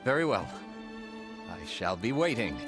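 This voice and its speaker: Low-voiced, courtly magistrate. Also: male voice, sing-song, measured pace. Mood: courteous, reserved. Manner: formal, polite, ornate phrasing. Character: courtly, formal male magistrate, measured and deferential. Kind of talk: video game character acting. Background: music.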